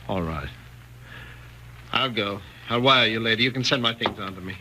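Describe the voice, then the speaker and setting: An actor playing a bewildered, wounded husband with a pleading, dramatic manner in a 1940s radio thriller. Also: male voice, on the low side, normal speed, natural voice. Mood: wounded, resigned. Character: wounded, bewildered husband, outwardly tender, suspected schemer. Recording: noisy vintage 1940s radio recording.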